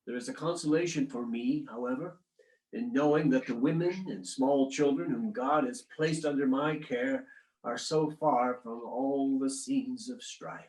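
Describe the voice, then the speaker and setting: Casting: a man portraying a solemn Civil War-era statesman and husband, formal letter-reading style, measured, slightly halting, mid-pitched, roomy echo. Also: darkish tone, lightly acted. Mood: solemn, reassuring. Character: 19th-century statesman husband writing solemn wartime letters to wife. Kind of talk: dramatic reading of historical letters. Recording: echoey, roomy sound.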